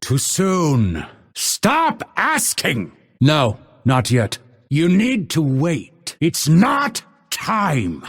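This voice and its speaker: A man voicing a cold, proud assassin in a video game, sardonic and impatient, with a gravelly, husky, theatrical and clipped delivery. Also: fairly high pitch, wildly swooping pitch, extremely slow, exaggerated voice. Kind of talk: video game character voice lines.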